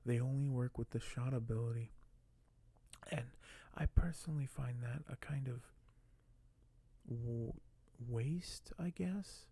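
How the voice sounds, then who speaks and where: Hushed, unhurried man doing ASMR. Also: medium pitch, vocal fry, slightly rough. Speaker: video host. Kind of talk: soft-spoken ASMR, partly whispered; reading aloud and commenting on collectibles.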